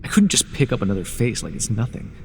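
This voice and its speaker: An actor playing a grieving, devoted fallen god in mortal form, earnest and reproachful, in a dramatic fantasy audio roleplay. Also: male voice, mid-range voice, very animated, quick pace. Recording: reverberant hall effect, faint noise.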